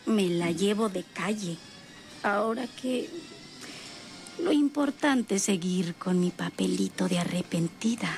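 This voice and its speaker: Slow, creaky, scheming villainess. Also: female voice, mid-range voice, animated, a little mellow, soft background music. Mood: vain, seductive. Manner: slow, gloating, breathy asides. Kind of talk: radionovela acting, soliloquy.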